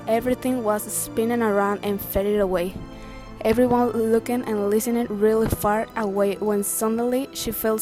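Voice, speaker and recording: Young woman reading a fairytale story, voice a bit high, light music under her. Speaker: narrator. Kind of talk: scripted fairytale narration.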